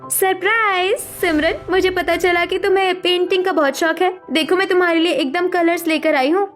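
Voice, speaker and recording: Woman voicing a coaxing, high-voiced young sister-in-law. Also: fairly even intonation, moderate pace, a bit bright, soft background music. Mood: cheerful. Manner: coaxing, reassuring. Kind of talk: dramatic Hindi cartoon story acting.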